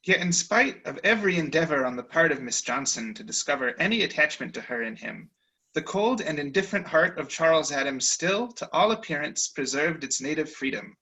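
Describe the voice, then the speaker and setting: A man narrating a comic period story in a wry, deliberate way over a video call. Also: fairly high pitch, expressive, pushed and bright, rough recording.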